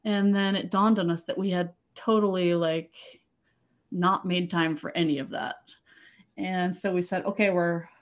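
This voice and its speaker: A woman co-hosting a casual podcast tells her story in a chatty, easygoing way. Her voice sits slightly low, and she fills her speech with "like". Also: fairly even intonation, measured pace. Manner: chatty, frequent "like", light laughter. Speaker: podcast co-host.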